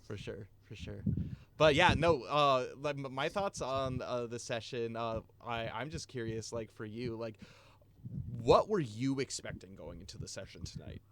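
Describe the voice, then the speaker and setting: A man's voice: medium pitch, measured pace, a bit bright, a little hiss or hum. A man running a tabletop game, chatting casually and enthusiastically after the session, recovering from being sick. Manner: chatty, filler-heavy, enthusiastic. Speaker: game master. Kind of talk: casual post-session chat.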